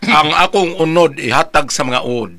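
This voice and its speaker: An older Filipino man with a Cebuano accent chatting casually, telling stories and quoting scripture in a joking, animated way. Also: a little high, moderate pace.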